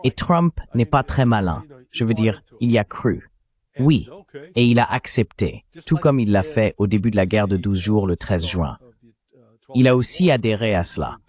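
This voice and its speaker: Guy with a lowish voice doing translated political commentary, blunt and opinionated. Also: expressive, moderate pace, a little mellow. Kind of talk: translated voice-over of a political discussion.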